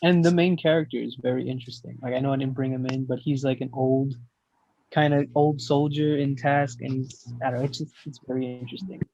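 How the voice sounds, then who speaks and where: A man's voice: medium pitch, steady tone, fairly quick, mellow, no echo. A man chatting casually as a panelist on an online group call. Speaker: discussion panelist. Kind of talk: casual chat. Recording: dull lo-fi call audio.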